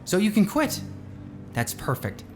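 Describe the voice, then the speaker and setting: A man's voice: sits high, huge pitch swings, a little slow, over music, heavily acted. A man playing a confident, glib billionaire space colony founder in a naturalistic audio drama, talking in a casual, assured, persuasive way. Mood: casual probing. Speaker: voice actor in a musical audio drama.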